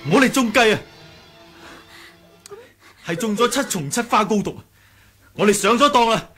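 An actor playing an earnest, hot-headed young male martial arts sect leader in a radio drama, with a husky voice. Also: high-pitched, animated, moderate pace, a bit bright, soft background music. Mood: alarmed, remorseful. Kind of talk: Cantonese radio drama acting.

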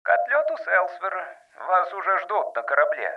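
Man as a higher-pitched official over a loudspeaker. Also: very animated, a little fast, gravelly, heavily acted. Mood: official, brisk. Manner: formal, evasive, officious. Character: cold, official male government councillor. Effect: loudspeaker, radio-like filter. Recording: dull, band-limited loudspeaker sound.

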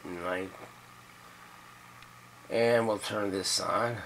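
A middle-aged man giving a casual, halting, folksy hands-on demonstration, thinking aloud at a workbench. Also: on the low side, vocal fry, low-quality recording. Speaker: radio restorer demonstrating a repair. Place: cluttered indoor electronics workbench. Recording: loud background noise and radio hum.

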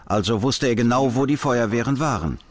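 Animated male documentary interviewee. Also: medium pitch, a little fast, faint music behind. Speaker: documentary interviewee recounting an investigation.

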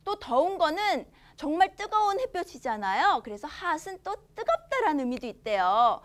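A woman in her thirties teaching young children English from a storybook in a studio. She reads the English lines slowly and with exaggerated care, then explains them cheerfully in Korean. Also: high-pitched, sing-song. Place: studio in front of a green screen.

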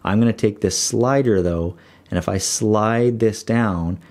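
A man explaining audio editing software in a steady screen-recorded tutorial voice-over. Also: on the low side, measured pace.

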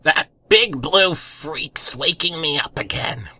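A man voicing a gruff, angry female neighbour who scolds curtly in a comic story reading. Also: a little high, lively intonation, slow pace, sharp tone, no echo, voice pushed up, heavily acted. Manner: curt, scolding.

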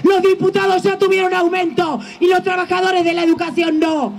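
Argentine woman shouting a union rally speech, really high voice, gravelly edge, handheld mic outside. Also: level tone, a little fast. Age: middle-aged. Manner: impassioned, forceful shouted oratory. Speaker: teachers' union leader and legislator. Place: outdoors at a street rally. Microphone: handheld microphone. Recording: outdoor microphone with faint noise.

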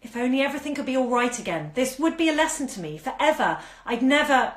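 Bitter, desperate young woman, clipped and loud, read by a woman storyteller. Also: fairly low pitch, fairly quick, reverberant, close to an everyday voice.

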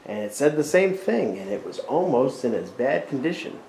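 Gravelly American man, animated and wry. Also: mid-range voice, normal speed. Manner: wry, muttering asides to himself. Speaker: urban explorer vlogger. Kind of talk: casual exploring vlog narration. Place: inside and behind a derelict abandoned house. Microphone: handheld camera mic. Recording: noisy handheld camera audio.

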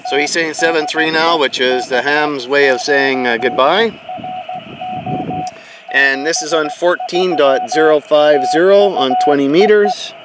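A man's voice: on the high side, a little slow. A middle-aged man from Canada giving casual explanatory commentary as an amateur radio operator outdoors. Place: outdoors in a yard at a wooden table. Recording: very noisy outdoor recording, radio Morse tones. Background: outdoor noise, radio static and Morse beeps.

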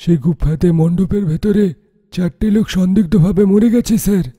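Male actor as anxious cartoon junior engineer, high voice, very mellow, respectful, pleading. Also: brisk, lightly acted. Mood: fearful warning. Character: timid, deferential young male site engineer. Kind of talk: animated horror story dialogue.

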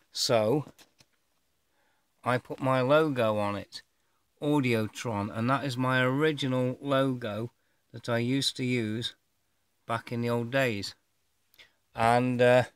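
Slow, halting middle-aged man, clear voice. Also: medium pitch. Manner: halting, rambling, thinking aloud. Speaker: vlogger showing his own gear. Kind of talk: casual unboxing commentary to camera. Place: indoors, bedroom, filming over a bed. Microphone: handheld camera or phone held by the speaker.

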